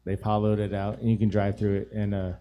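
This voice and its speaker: A man chatting as a guest musician on a live show, his voice raspy and hoarse, relaxed and full of fillers. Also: on the low side, fast talker. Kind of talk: casual chat on a live show.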